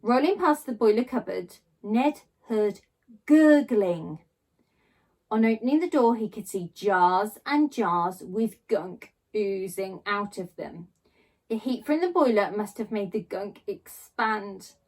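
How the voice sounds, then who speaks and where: A woman narrates a children's storybook with plenty of expression. Her voice sits at a medium pitch, and she performs the text theatrically, pausing for drama and drawing out important words. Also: animated, darkish tone. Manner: theatrical, with dramatic pauses and drawn-out emphasis. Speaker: storyteller reading a children's book aloud.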